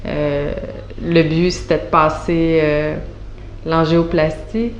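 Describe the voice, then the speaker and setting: A woman in her thirties with a Quebec French accent speaks slowly and haltingly, stopping often to search for words. Her voice sits a little low. The room is echoey, with a faint hum of background noise. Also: level tone, dull audio. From Montreal, Canada. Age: 30s. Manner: hesitant, many fillers and restarts. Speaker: patient giving a testimonial. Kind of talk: unscripted spoken testimonial.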